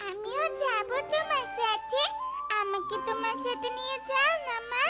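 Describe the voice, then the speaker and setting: A woman's voice: extremely high pitch, unhurried. A woman voicing a stubborn, playful little fox cub girl in a cartoon, pleading in a childish whine. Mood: pleading, whining. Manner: childish whining, repeated pleas. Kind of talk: cartoon dialogue. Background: children's music.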